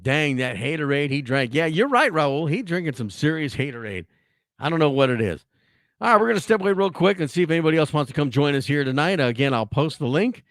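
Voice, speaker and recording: A hoarse man hosting a sports talk show, ranting in a casual, animated way with frequent restarts. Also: fairly high pitch, fast, no room sound, clean studio sound.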